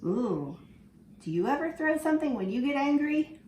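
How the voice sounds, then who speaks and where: A woman teacher reading a picture book aloud to children in an animated way, stopping to ask them questions. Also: sits high, normal speed, a little hiss or hum. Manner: animated, asks the listener questions. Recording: echoey room with faint ambience.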